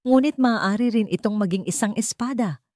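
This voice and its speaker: Woman voicing a wise, mellow elderly grandmother. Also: medium pitch, moderate pace, no room sound, studio quality, pitched above the performer's own voice, close to an everyday voice. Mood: earnest, solemn. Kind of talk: audio story dialogue.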